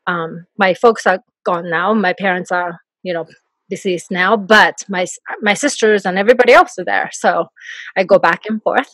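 A middle-aged woman from Japan with a Japanese accent, speaking softly as a podcast guest and laughing often as she talks. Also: lowish voice, moderate pace, dry recording, poor audio. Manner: soft-spoken, laughs often while talking. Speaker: guest, life coach. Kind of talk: podcast interview.

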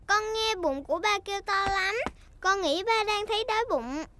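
A woman's voice: very high voice, expressive, normal speed, heavy background noise. A woman voicing an eager, bossy, playful little girl piglet in a dubbed children's cartoon.

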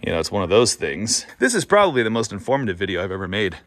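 A man's voice: fairly low pitch, very animated, fast talker, a bit bright, slight background noise. A man in his thirties vlogging casually outdoors, making dry, self-mocking jokes into a phone held at arm's length. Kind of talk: casual vlog narration. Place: outdoors on a farm. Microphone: phone camera held at arm's length.

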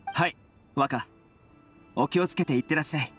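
An actor voicing a friendly, loyal young retainer in an anime-style video game, easygoing yet respectful. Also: male voice, a little high, moderate pace, a bit bright, soft background music, lightly acted. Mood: dutiful.

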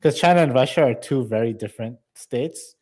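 A man talks politics casually as co-host of an online stream. His pitch is ordinary for a man. He is conversational and analytical, stepping in to explain his view. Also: fairly quick, darkish tone. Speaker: show co-host. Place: indoor room, livestream video call.

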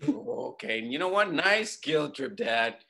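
A man's voice: mid-range voice, animated, a little slow, gravelly, pushed and bright, heavily acted. A man in his thirties playing a hot-headed, wounded young chef in a naturalistic table read, slangy and exasperated. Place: room at home, video call.

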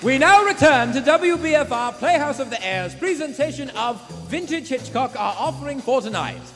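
An actor playing a suave old-time radio announcer, narrating a thriller theatrically on a theater stage. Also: male voice, extremely high pitch, lively intonation, moderate pace, a bit bright. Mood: genial. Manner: theatrical, dramatic. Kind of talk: 1940s radio play narration. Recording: echoey theater stage, music underneath.

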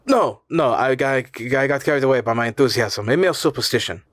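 Man playing an excitable, cunning professor uncle. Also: medium pitch, brisk, dry recording, clean studio sound, lightly acted. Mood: excited, flustered.

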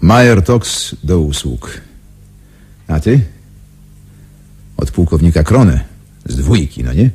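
Deep husky excitable secret agent. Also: male voice, slow, pauses often, full character voice. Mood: wry. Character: secret-service major, sardonic, excitable male agent. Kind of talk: radio drama acting.

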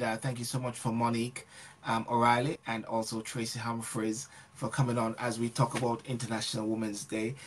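A male talk show host with a husky, slightly rough voice at an ordinary middle pitch. He talks over a video call in a hesitant way, stumbling through restarts and answering with steady affirmations. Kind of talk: casual talk-show chat.

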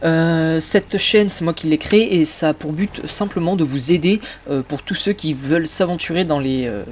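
A young man with a slightly high voice talks through a casual channel update, stopping often on filler sounds. Faint hiss sits under the voice. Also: moderate pace. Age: young adult. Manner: hesitant, many filler sounds. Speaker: YouTube gaming channel host.

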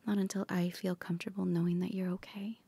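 A woman whispering softly in an ASMR comfort roleplay, gently reassuring a partner. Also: on the low side, level tone, fast talker, gravelly, slightly rough.

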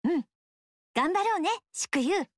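A bubbly young ninja woman, sweet and eager, who looks after her people like a mother. Her voice is very high and bounces all over. The game studio recording is dry. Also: lively intonation, a little slow, no echo. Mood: cheerful. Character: cheerful young female ninja, protective mother type.

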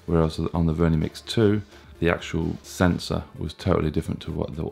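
A man in his thirties with a chesty, hoarse cold voice, casually reviewing a gadget with plenty of fillers and restarts. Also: rumbling low, brisk. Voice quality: gravelly. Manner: relaxed, plain talk with frequent fillers and restarts. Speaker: tech reviewer.

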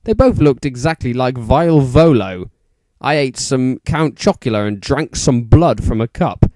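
A man's voice: medium pitch, animated, a little slow, a little mellow, dry recording. A man narrating fan fiction as an exaggerated, mock-serious dramatic reading.

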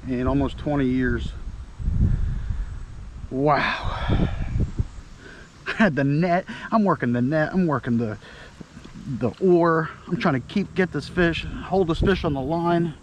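Excited man fishing from a kayak. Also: a little high, slow pace, long pauses, slight background noise. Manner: breathless exclamations, talks to the fish. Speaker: fishing vlogger. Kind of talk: excited self-filmed fishing commentary. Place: kayak on a pond, outdoors. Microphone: head-mounted action camera.

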